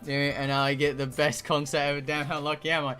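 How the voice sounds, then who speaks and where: A male streamer with a bright, forward voice pitched a little high. He rambles casually, swearing now and then, and reads game text aloud between bits of chat. Soft music plays behind him. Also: flat delivery, a little fast. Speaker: streamer playing a visual novel.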